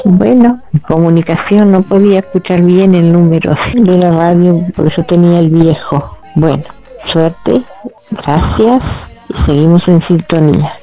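A woman's voice: low-pitched, gravelly. A woman from Uruguay calling in politely to a radio show. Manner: polite, friendly. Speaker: caller. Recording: phone line.

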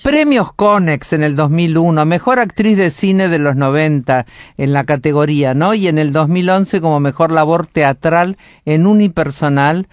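A man's voice: on the high side, normal speed, hardly any pauses, dry recording, clean studio sound. A man hosting an interview, giving frequent encouraging backchannels. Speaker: interview host. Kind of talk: friendly interview.

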